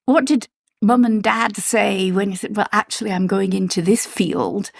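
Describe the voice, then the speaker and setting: A woman hosting a podcast, narrating in a measured scripted way and asking guests questions. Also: medium pitch, fairly even intonation, creaky. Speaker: podcast host.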